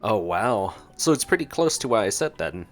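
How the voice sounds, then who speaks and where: A man's voice: lowish voice, very animated, moderate pace. A man doing casual gameplay reaction commentary as a streamer, playful and joking as he reads and responds to dialogue. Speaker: gaming streamer. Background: game music.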